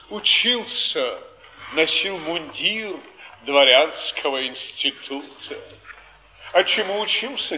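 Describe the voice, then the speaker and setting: A ruined aristocrat lost in confusion, from a 1950s Russian stage drama. His highish voice is sharp and very changeable, halting and plaintive as he confesses. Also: full character voice, poor audio. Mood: anxious, bewildered. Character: ruined, bewildered nobleman, a timid flophouse baron.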